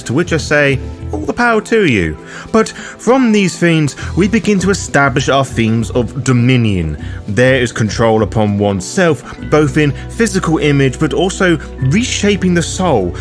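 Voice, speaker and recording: A man narrating scripted game lore as a guide's host, in a formal, theatrical storyteller's manner. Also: mid-range voice. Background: music under the voice.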